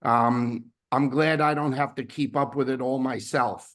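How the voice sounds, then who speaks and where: A man hosting a weekly team video call, his voice hoarse from laryngitis, rambling with fillers and restarts. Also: mid-range voice, fairly even intonation, a little slow, fairly bright tone. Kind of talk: casual team announcements.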